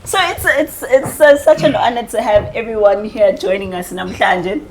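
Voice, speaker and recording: A South African woman hosting a lively group conversation in a playful, teasing way, often laughing. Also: mid-range voice, normal speed, slight background noise. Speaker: podcast host. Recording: roomy echo, people in background.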